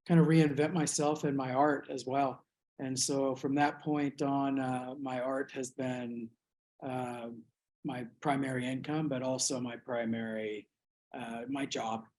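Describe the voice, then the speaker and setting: Man from the US, reflective artist, hesitant, mid pitch, unhurried interview talk. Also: a little mellow. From United States. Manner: thoughtful, hesitant, many fillers and restarts.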